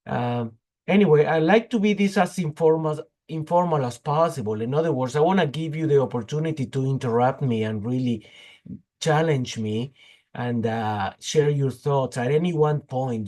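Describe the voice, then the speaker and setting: Man, fifties, hoarse from a cold, informal technical talk, halting, video call. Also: medium pitch, dark tone. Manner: halting, frequent fillers and restarts. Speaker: guest speaker, petroleum geologist.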